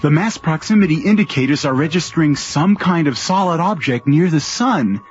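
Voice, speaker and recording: A man's voice: fairly high pitch, lively intonation, normal speed, slight background noise, natural voice. An actor playing an earnest, hesitant young male technician on a space station, deferential, in a science fiction radio drama. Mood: anxious, insistent. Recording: muffled radio drama recording.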